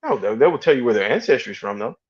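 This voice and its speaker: A man's voice: medium pitch, sing-song, fast, breathy edge, highs cut off. A man in a casual discussion over a call, arguing his point and firing back challenging questions. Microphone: video call.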